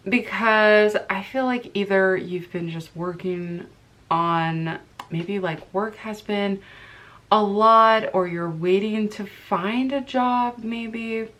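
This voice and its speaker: A woman's voice: medium pitch, fairly bright tone. A woman giving a casual tarot card reading to camera, stuffy from allergies, who meanders and searches for words as she talks.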